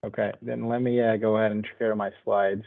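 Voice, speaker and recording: A man's voice: lowish voice, a little fast, gravelly. Man presenting over a tinny video call. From Portugal. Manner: relaxed, conversational, loosely paced. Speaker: panel speaker. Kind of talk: online lecture presentation.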